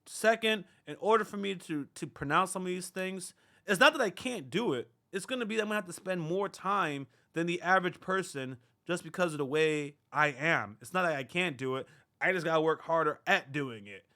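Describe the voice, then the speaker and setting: Bright-voiced chatty man. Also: on the high side, fairly quick. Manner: rambling, self-deprecating, conversational with many fillers. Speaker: reaction channel host. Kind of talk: casual talk to camera.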